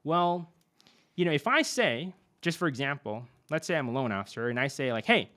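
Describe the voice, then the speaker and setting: Animated young man explaining marketing. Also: a little high, brisk. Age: young adult. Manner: animated, many fillers and tag "right?". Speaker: podcast guest, marketing expert. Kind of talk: conversational explaining.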